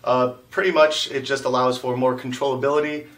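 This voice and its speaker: A man's voice: medium pitch, little pitch movement, fairly quick, a bit bright. A man giving a casual interview as an animator, explaining his work informally. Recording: slightly distant microphone.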